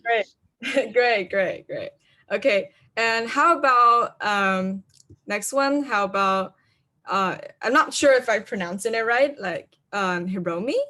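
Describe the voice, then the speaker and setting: A woman's voice: medium pitch, slow, pushed and bright. A woman moderating an online video call, hesitant and full of fillers as she introduces speakers. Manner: hesitant, many fillers, unsure of name pronunciation. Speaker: conference moderator.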